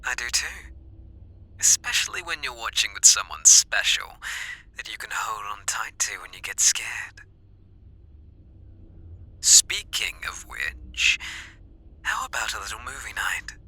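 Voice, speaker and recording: A British man playing an obsessive, seductively playful masked killer, taunting in whispery, drawn-out questions close to the mic in an ASMR roleplay. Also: on the low side, very animated, bright, forceful tone, husky, heavily acted. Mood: playful, menacing. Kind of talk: ASMR roleplay, intimate monologue. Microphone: close microphone.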